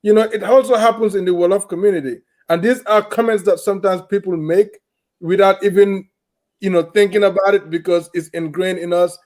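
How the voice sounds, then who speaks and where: Male talk host from Gambia, high-pitched, measured and explanatory. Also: steady tone, darkish tone. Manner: measured, explaining, often asks "you understand?". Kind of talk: panel discussion, mixing English and a local language.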